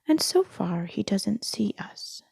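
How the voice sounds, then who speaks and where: A knowing storybook owl voiced by a woman reader, measured and mellow. He explains things matter-of-factly, with a creaky edge. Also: medium pitch, big pitch swings, no room sound, in a whisper, exaggerated voice. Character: wise, knowing male owl, storybook bird.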